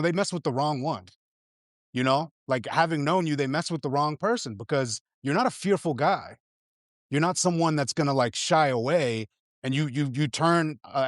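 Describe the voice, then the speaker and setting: A man appearing as a journalist guest, speaking in an animated, emphatic way in casual conversation. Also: mid-range voice, dry recording, clean studio sound.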